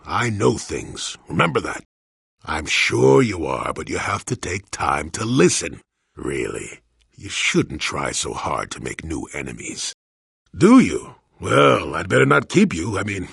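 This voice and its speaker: A man playing a cantankerous, wry old hermit in a video game, with a raspy, gravelly voice and a crotchety, theatrical delivery. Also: fairly low pitch, a bit bright, no room sound, clean studio sound, full character voice. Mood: firm, bargaining, then wry, scolding. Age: older. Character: cantankerous wry old male hermit, a Force-sensitive former Jedi. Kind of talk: video game character voice acting.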